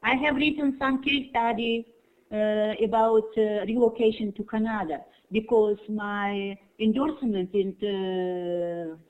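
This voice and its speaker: An older woman whose first language is German, a human resources teacher, speaking effusive, rambling, halting English in an online conference chat. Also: medium pitch, fairly even intonation, a little mellow. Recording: tinny phone-like online call audio.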